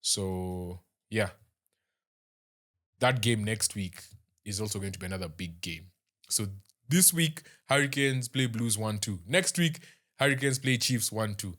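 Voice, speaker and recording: A man's voice: fairly low pitch, expressive, a bit bright. Chatty young Kenyan man. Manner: chatty, halting, with many fillers and restarts. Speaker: rugby show host. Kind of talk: casual match review.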